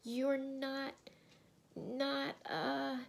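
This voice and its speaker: A woman's voice: sits high, drawn-out words, creaky, voice pushed up, exaggerated voice. A woman voicing a timid, anxious storybook girl who speaks hesitantly and shakily. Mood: frightened. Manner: hesitant, shaky. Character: timid, anxious older girl, fearful and questioning.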